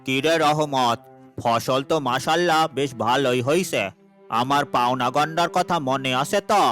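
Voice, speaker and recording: A man's voice: medium pitch, moderate pace, a bit bright, soft background music, lightly acted. A man playing a greedy, scornful village moneylender in a cartoon, condescending and domineering, with a rural Bangladeshi Bengali accent. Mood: smug menace. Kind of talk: cartoon voice acting.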